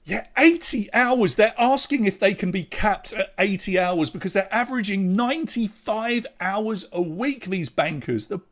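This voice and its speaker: Chatty English quizmaster, lively delivery. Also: male voice, high-pitched, normal speed, hardly any pauses. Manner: chatty, jokey banter with players between questions. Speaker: quiz host. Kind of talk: hosting a live online pub quiz.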